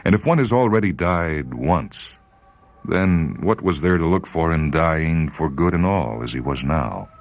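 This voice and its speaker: A man reading a short story as dramatic literary narration, measured and solemn. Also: extremely low pitch, animated.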